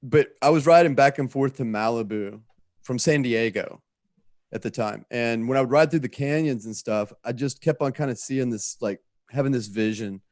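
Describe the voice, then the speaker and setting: A man's voice: mid-range voice, moderate pace, a little mellow, dry recording, studio quality. A man speaking as a guest writer in an interview, telling a story in a rambling, conversational way.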